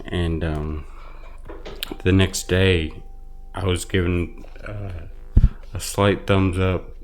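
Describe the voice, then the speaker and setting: A man giving earnest personal testimony as an interview guest, speaking haltingly with some repeated words. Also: sits low, flat delivery, slight background noise. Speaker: interview guest, brain injury survivor.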